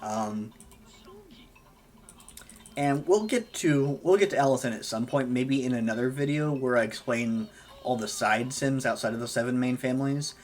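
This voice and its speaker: Male gaming host, casual rambling commentary, hoarse voice, lots of ums and restarts, mid pitch. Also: normal speed, darkish tone. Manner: rambling, many fillers and self-corrections. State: losing his voice. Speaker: gaming YouTuber.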